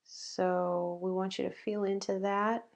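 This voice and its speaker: Slow, creaky-voiced woman guiding meditation. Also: mid-range voice, little pitch movement. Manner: slow, halting, with long pauses. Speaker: meditation guide. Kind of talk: guided meditation.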